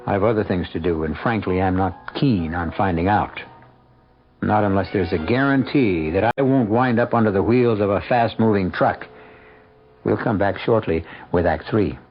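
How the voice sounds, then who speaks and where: An older man playing a wry, theatrical host, narrating an old-time radio mystery in a deliberate manner. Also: fairly low pitch, natural voice. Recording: dull old radio recording. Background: prominent music.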